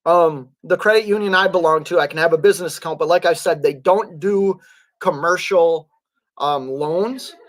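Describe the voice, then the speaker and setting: A man with a somewhat high voice chats loosely with his live audience as a truck driver. His voice is fraying toward hoarseness. He halts often, clears his throat and leans on fillers. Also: moderate pace. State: voice going hoarse. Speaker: truck driver and livestream host. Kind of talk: casual livestream chat reading viewer comments.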